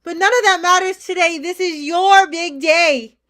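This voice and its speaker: A woman's voice: extremely high pitch, normal speed, bright, forceful tone. An actress playing an anxious, guilt-ridden mother of a college-age daughter in a staged play reading, pleading and insistent. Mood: guarded.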